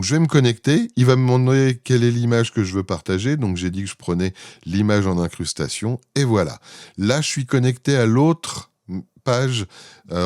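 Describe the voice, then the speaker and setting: A man's voice: deep voice, darkish tone, no echo. A man talks through a screen demo. He explains informally and improvises, often feeling for the next word. The recording is close and dry. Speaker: presenter demonstrating his own software.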